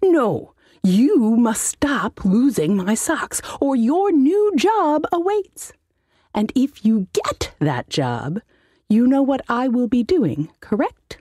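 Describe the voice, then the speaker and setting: A woman voicing a pompous, menacing male wizard tyrant who makes deliberate threats in an audiobook story. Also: on the high side, sing-song, dark tone, no echo, pitched above the performer's own voice, full character voice. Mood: scornful, menacing.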